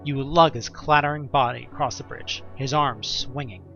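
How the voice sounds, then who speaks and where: A man's voice: medium pitch, moderate pace, creaky, pushed and bright, dull audio. A man narrating a second-person horror story in a theatrical, suspenseful way, with wry, casual asides. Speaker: narrator of an audio drama. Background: music.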